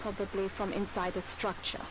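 A woman's voice: medium pitch, flat delivery, normal speed, heavy background noise, natural voice. An actress playing a formal, precise female scientist explaining findings in a game. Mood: analytical.

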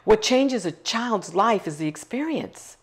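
A woman's voice: medium pitch, animated, a little slow, breathy edge. A middle-aged woman, a foundation CEO, speaking passionately and emphatically in an interview on a couch with a lapel mic. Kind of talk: interview conversation. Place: living room set on a couch.

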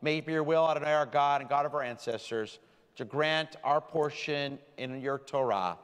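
A man giving a sermon as rabbi to his congregation, speaking with slow, deliberate emphasis. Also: fairly high pitch, clean tone. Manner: warm, deliberate preaching with slowed emphasis. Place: large synagogue sanctuary. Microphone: stand mic from a far camera. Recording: long hall reverb.

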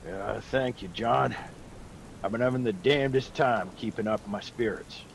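An actor playing a weary, gruff old sergeant major with a gravelly voice in a radio drama. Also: male voice, medium pitch, moderate pace, a little hiss or hum, lightly acted.

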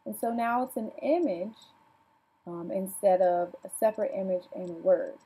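A woman presenting a software tutorial, calmly walking viewers through each click step by step. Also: mid-range voice, moderate pace, slightly rough, rough recording. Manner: plain instructional, walks through clicks calmly. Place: home desk, filming a computer screen.

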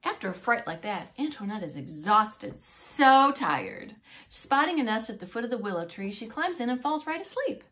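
Expressive woman reading a story, lively pitch swings. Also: normal speed, slight background noise. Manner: expressive, stopping to explain words. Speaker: preschool teacher. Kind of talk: animated picture-book read-aloud for young children. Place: small indoor room with bookshelf and whiteboard.

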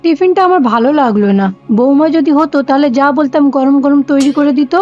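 A woman acts out a nosy middle-aged mother-in-law in a cartoon, always questioning and finding fault. The voice is high, with lo-fi sound and music behind. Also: normal speed, lightly acted. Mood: grumbling.

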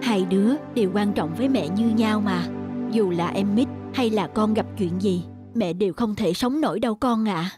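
A woman voicing a gentle, loving animal mother in a children's cartoon, with a soft, soothing, affectionate manner. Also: mid-range voice, normal speed, music in the background, lightly acted. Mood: tender, reassuring. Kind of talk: animated moral tale for children.

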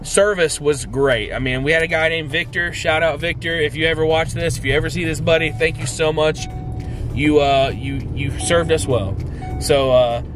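A man in his thirties vlogging to a handheld camera from a car and a hotel room, telling a rambling, excited story. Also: on the high side, moderate pace, over music. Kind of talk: casual vlog. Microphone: handheld camera at arm's length.

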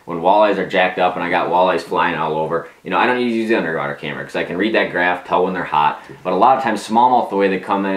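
A man in his thirties giving a casual, informal explanation to camera as a video host. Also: deep voice. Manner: informal, conversational. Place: small domestic room at a table, indoors. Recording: roomy echo, music behind.

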